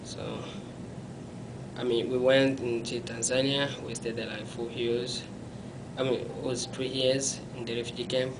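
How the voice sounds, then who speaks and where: A young man, telling his life story in an interview in a small room, halting and often correcting himself. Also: mid-range voice, level tone, noisy background. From Congo. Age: young adult. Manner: halting, self-correcting, non-native phrasing; gestures with his hands while talking.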